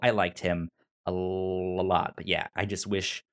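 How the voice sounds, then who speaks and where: A man's voice: sits low, flat delivery, unhurried, fairly bright tone. A man co-hosting a podcast, chatting casually about his opinions, with a stutter that repeats the starts of words. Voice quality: stutter, repeating initial sounds. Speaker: podcast co-host. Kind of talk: casual opinionated review chat.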